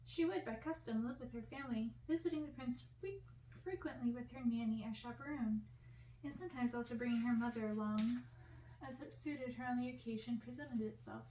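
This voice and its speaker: A woman with a fairly high voice reads a storybook for an ASMR audience. The recording is strongly echoey and a little distant, with faint noise behind her. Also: brisk, warm tone. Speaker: audiobook-style reader for ASMR channel. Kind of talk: ASMR storybook reading, scripted narration.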